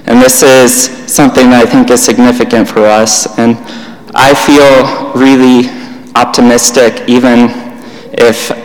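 A young man teaching in a church hall, his voice flat and monotone with little rise or fall. He talks plainly and unhurriedly, pausing and restarting as he goes. His words ring out with a long hall reverb behind them. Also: mid-range voice. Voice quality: monotone delivery. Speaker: church speaker.

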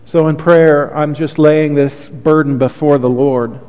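A man's voice: on the high side, steady tone, measured pace, clean tone. Soothing-voiced guy preaching a heartfelt sermon, church reverb trailing off. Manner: earnest, heartfelt preaching with soft confessional asides. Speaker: pastor. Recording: long hall reverb.

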